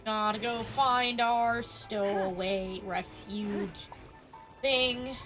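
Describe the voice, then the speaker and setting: A woman's voice: mid-range voice, steady tone, extremely slow, a bit bright, faint music behind. A woman giving casual live commentary as she streams a video game.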